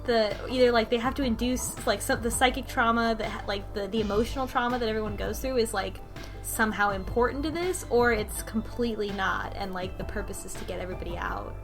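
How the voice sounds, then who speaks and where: A woman on a podcast panel in casual analytical discussion, working through ideas in a halting, repeating way. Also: a little high. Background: background music.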